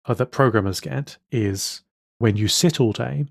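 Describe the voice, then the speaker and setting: Gravelly man, chatty podcast host. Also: medium pitch, measured pace, no room sound, studio quality. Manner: restarts and repeats words while explaining. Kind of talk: casual chat.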